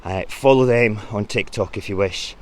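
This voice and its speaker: Earnest lower-voiced male commentator. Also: normal speed, slight background noise. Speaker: podcast host.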